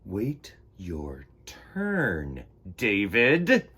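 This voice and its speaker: A man voicing a strict, exasperated schoolteacher in a read-aloud storybook, calling out rules in a loud, slow, drawn-out way. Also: fairly low pitch, huge pitch swings, slightly rough, voice pushed down, heavily acted. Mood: scolding.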